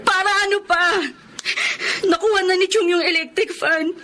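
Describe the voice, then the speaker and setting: A woman's voice: very high-pitched, steady tone, moderate pace, bright, forceful tone, slight background noise. A young woman playing a protective, wounded elder sister in a radio drama, pleading and tearful. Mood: hurt, indignant. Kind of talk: Tagalog radio drama acting.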